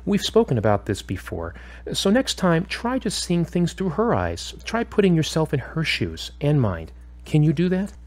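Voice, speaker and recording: A man acting as a calm, firm, probing therapist in a scripted audio drama, with a measured, instructive and questioning way of talking. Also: on the high side. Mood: encouraging, instructive.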